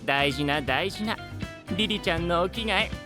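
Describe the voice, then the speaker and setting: An actor playing a polite, quirky and doting young knight in an anime voice drama, with courteous, fussy speech. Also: male voice, high voice, normal speed, natural voice. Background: background music.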